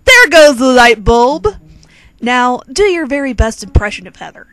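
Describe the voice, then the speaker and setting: A woman's voice: high-pitched, sing-song, normal speed, a bit bright. A woman giving a theatrical, playful and sarcastic dramatic reading of a comic parody story in the second person. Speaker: fan fiction reader. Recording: quirky background music under the voice. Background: quirky instrumental music.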